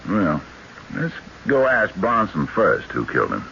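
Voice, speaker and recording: A low, bright-edged male voice as a shrewd backcountry lawman. He is folksy and probing, with lively swings of pitch, in an old radio mystery. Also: measured pace, creaky, exaggerated voice. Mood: questioning. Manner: folksy, plain-spoken, probing. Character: shrewd, unhurried backcountry lawman, skeptical and methodical. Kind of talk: radio mystery drama acting. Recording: dull, noisy vintage radio broadcast.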